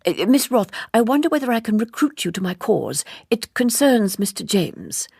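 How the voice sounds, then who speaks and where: A woman reading an audiobook, voicing an earnest, fussy gentleman who speaks formally and insistently in roundabout sentences. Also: on the high side, sing-song, normal speed, gravelly, breathy edge, no echo, clean studio sound, voice pushed up, full character voice. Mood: earnest, confiding. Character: earnest, fussy, gossiping gentleman, gravely indignant. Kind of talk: audiobook character dialogue.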